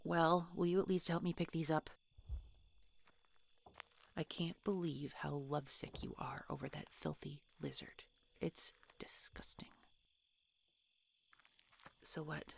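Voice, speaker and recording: Woman acting a creaky-voiced, prickly female dragon hunter. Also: deep voice, flat delivery, a little hiss or hum, full character voice. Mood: irritated. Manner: halting, sighing, grudging, often hushed. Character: prickly tsundere female dragon hunter, guarded but softening. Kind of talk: fantasy audio roleplay, intimate ASMR-style acting.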